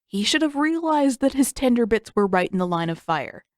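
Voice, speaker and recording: A woman's voice: on the high side, big pitch swings, normal speed, dry recording, clean studio sound, voice pushed up. A woman voicing a cheeky, smug teenage boy in an audio story, nosy and teasing.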